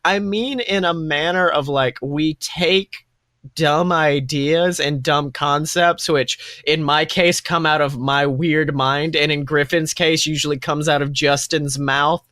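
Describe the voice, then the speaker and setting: A man with a fairly high voice, recorded close and dry. He co-hosts a casual fan podcast, gushing with enthusiasm. He tends to ramble and talk in big superlatives. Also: steady tone, slow, sharp tone, no echo. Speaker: podcast co-host.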